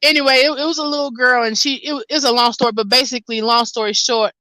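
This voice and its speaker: A woman's voice: a little high, fairly even intonation, fast, pushed and bright, rough recording. A woman with an American accent hosting a casual chat, talking in an informal, rambling, folksy way. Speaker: host, hairdresser.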